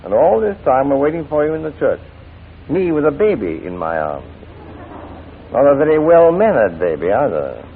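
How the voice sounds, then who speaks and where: An actor playing a teasing, mocking gentleman friend who questions like a prosecutor in a 1940s radio drama. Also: mid-range voice, big pitch swings, moderate pace. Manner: arch, mocking, theatrical questioning. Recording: scratchy, narrow-band 1940s radio recording.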